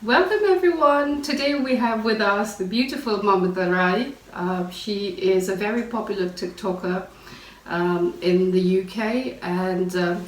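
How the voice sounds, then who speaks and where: Host: woman, mid-pitched, chatty with lots of ums, distant roomy sound. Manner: friendly, filler-heavy questioning. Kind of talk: casual interview. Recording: echoey room, mic at a distance.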